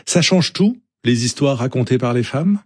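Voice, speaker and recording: A man's voice: mid-range voice, animated, moderate pace, darkish tone, breathy edge, no room sound, studio quality. A man working as an interviewer, putting questions in a conversational way.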